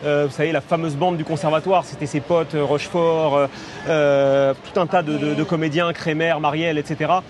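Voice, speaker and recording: A man's voice: on the high side, fairly even intonation, a little fast, crowd noise. A man presenting a film segment on a talk show, enthusiastic and full of restarts. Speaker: film columnist. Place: outdoors at a café terrace.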